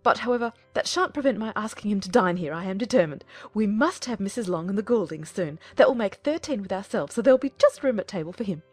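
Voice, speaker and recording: A woman's voice: mid-range voice, animated, fast, few pauses, darkish tone, husky, no echo. A woman voicing a fussy, indignant middle-aged mother in a dramatized audiobook, emphatic and fretful. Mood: indignant, determined.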